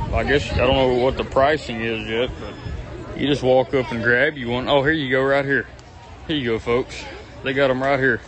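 A man, chatting casually and enthusiastically through a handheld phone vlog, rambling with plenty of ums and uhs while walking around an outdoor pumpkin farm. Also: mid-range voice. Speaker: vlogger. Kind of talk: casual walk-and-talk vlog. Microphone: handheld phone camera. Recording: crowd noise outdoors. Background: crowd chatter.

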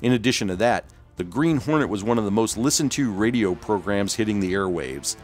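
A man's voice: fairly low pitch, expressive, a little fast, a little mellow, soft background music. A man hosting and narrating a scripted documentary-style video.

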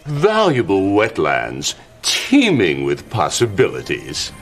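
An actor playing a middle-aged, scheming royal chancellor in a comic video game, smug and theatrical with drawn-out insinuation. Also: male voice, low voice, wildly swooping pitch, soft background music, exaggerated voice. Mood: falsely sweet.

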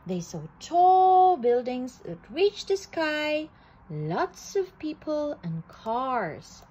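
Slow, lively woman reading a storybook. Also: high voice, dull audio, slight background noise. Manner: slow, animated storytelling with occasional restarts. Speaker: storybook reader. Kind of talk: children's read-aloud.

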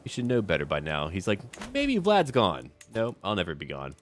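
A man's voice: deep voice, huge pitch swings, normal speed, slight background noise. A man giving casual running commentary on a strategy game, talking to himself and to the game's characters. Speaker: gameplay video host. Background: music.

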